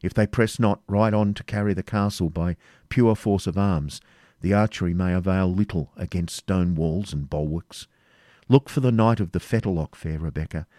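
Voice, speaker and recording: A man's voice: low voice, dark tone, dry recording, studio quality, natural voice. A man playing a fierce, chivalrous wounded knight in an audiobook, making impatient demands in archaic exclamations. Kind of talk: dramatic audiobook character reading.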